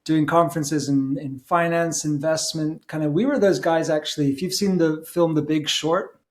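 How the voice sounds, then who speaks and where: A British-accented man in his forties talks through his career with easy, chatty storytelling. His voice sits a touch higher than most men's. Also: fairly even intonation, moderate pace. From England. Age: 40s. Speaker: conference organizer. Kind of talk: podcast interview.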